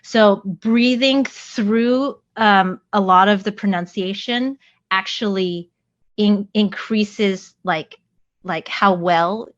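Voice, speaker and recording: An American woman co-hosting a podcast in friendly casual chat, laughing often and filling pauses with "like" and "um". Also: mid-range voice. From United States. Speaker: podcast co-host. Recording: dull dry lo-fi mic.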